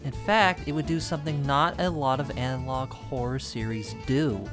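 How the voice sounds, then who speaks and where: A young man narrating a scripted video essay with casual, wry asides and dramatic pauses. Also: medium pitch, steady tone. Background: music.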